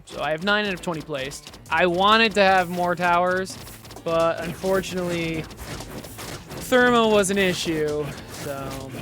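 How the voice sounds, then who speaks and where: A game developer giving casual gameplay commentary, explaining things step by step and correcting themselves along the way. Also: mid-range voice. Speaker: game developer demoing own game.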